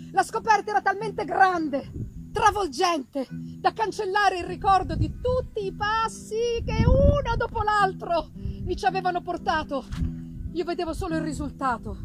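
A woman playing an obsessed male scientist in a dramatic gothic novel reading, theatrical and emphatic, with drawn-out words and dramatic pauses. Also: very high voice, heavily acted, low-quality recording. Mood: exultant. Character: obsessed male scientist confessing his dangerous discovery, exalted and haunted. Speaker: stage reader. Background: live musical accompaniment.

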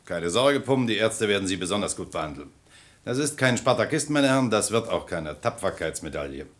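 An actor playing a brusque, condescending army captain who talks in impatient military officialese in a 1960s German radio drama. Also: male voice, fairly low pitch, big pitch swings, fairly quick. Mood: smugly condescending.

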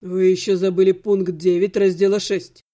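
Woman doing a cold angry necromancer guy for an audiobook, low mellow timbre, clipped and icy. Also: flat delivery, normal speed, close to an everyday voice. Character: cold, stern male necromancer, restrained anger. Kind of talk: audiobook character acting.

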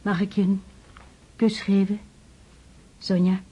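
An actress playing an earnest, tender young noblewoman in a radio play, speaking in a measured, formal, softly emotional way. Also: medium pitch, flat delivery, slightly rough, no echo. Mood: polite, formal. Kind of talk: Dutch radio play acting and first-person narration.

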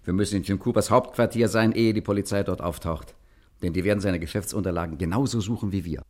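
A very low-voiced gentleman gangster acted by a man who talks quickly and with total assurance. He is suave and worldly, in the manner of a 1950s radio crime satire. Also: close to an everyday voice. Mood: urgent. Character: suave, confident gentleman gangster planning his next job. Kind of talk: 1950s German radio play acting.

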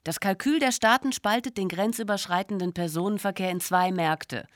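A woman's voice: medium pitch, moderate pace, no echo, clean studio sound, lightly acted. An actress playing a cool people smuggler, matter-of-fact and articulate, explaining her trade in a radio play. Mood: assured, explanatory. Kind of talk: radio play dialogue, expository.

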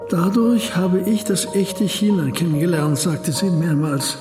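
A man playing a reflective elderly academic in a radio documentary play, speaking in a measured, deliberate way. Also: high-pitched. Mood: matter-of-fact. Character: reflective elderly academic, homesick returned emigrant husband. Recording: roomy echo, music behind.

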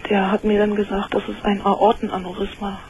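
A woman in her thirties calling into a talk show. She is grieving and speaks quietly and subdued, partly in a whisper. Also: mid-range voice, monotone, fairly quick, dull audio, noisy background. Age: 30s. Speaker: caller.

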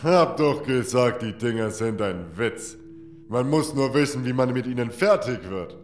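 A man's voice: medium pitch, lively intonation, measured pace, natural voice. Man playing a cocky warrior in echo. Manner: confident, easygoing. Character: cocky, unflappable male warrior comrade. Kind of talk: fan audio drama acting. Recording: roomy echo, faint music behind.

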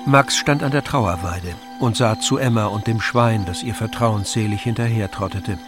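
A man's voice: fairly low pitch, moderate pace. A man narrating a literary story in an audio drama, reading scripted prose. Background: clear music.

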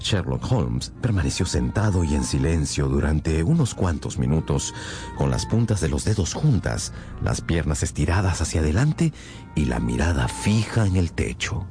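A man's voice: very deep voice, sing-song, normal speed, over music, full character voice. An actor playing a detective's loyal male companion, narrating the case in a radio drama with literary, storytelling phrasing. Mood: composed, descriptive.

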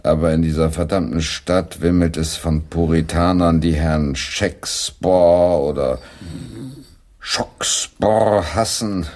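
An actor playing a weary, grumbling middle-aged English playwright in a German radio play, hesitant and complaining. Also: male voice, low-pitched, fairly even intonation, darkish tone. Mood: complaining, sleepless. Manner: slow, hesitant, complaining, dramatic.

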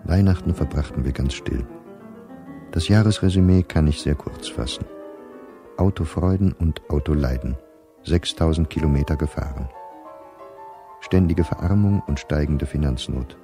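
An actor playing an embittered, middle-aged scholar reading his diary in a measured, dry, articulate radio drama delivery. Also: extremely low pitch, music in the background. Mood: weary, bitter.

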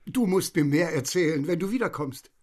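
Man acting old father, radio play, drawn-out, insistent, eager, raised pitch. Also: animated, darkish tone, close to an everyday voice. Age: older. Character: eager old father, hungry for war stories.

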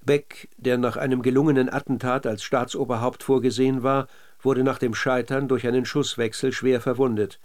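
A man narrating scripted documentary radio in a measured, factual manner. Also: medium pitch, level tone.

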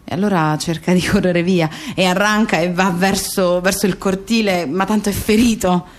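A woman's voice: lowish voice, steady tone, normal speed, close to an everyday voice. An actress playing a woman who remembers her murdered friend in an intimate, conversational radio theatre monologue with small hesitations. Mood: tense recounting.

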